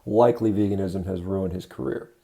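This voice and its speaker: A man giving unscripted critical commentary as a host, matter-of-fact and halting, often correcting himself. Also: deep voice, vocal fry, mellow. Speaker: host of a diet commentary video.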